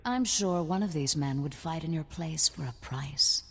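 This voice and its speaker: A woman playing a sultry, sadistic video game crime lady with a languid, teasing, drawn-out delivery. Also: sits low, gravelly, husky, big-room sound, exaggerated voice. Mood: coolly commanding. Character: sultry, sadistic crime lady who relishes blood sports.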